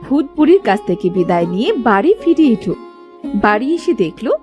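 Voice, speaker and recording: A woman's voice: mid-range voice, very animated, a little fast, over music. A woman giving scripted narration for a children's cartoon story.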